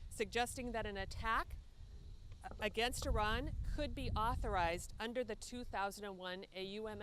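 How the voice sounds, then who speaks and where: A woman delivering a prepared, formal advocacy speech at a press conference in a measured, earnest manner. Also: mid-range voice. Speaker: advocacy group leader speaking at press conference. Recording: steady background ambient noise.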